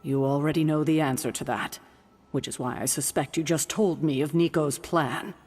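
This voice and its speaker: A woman's voice: low-pitched, steady tone, moderate pace, darkish tone, breathy edge, big-room sound. A woman playing a stern, guarded and protective mentor in a video game, curt and assertive in her delivery. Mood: defensive. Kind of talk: video game character acting.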